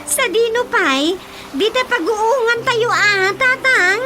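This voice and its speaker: A woman with an Ilocano accent playing an eager, excitable child in a radio drama. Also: very high voice, takes it slowly. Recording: music playing behind the voice.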